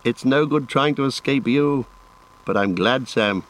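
A man voicing a gentle, resolute hobbit gentleman for an audiobook, well-spoken and earnest, chiding yet affectionate. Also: mid-range voice, a little slow, lightly acted, low-quality recording. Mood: resigned, glad. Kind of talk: audiobook character voice.

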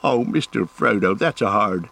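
A man's voice: on the low side, expressive, unhurried, a bit bright, heavily acted. Man performing a young hobbit manservant, loyal, stubborn, emotional, rustic turns of phrase, audiobook character. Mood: hurt. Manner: earnest, rustic, ungrammatical speech.

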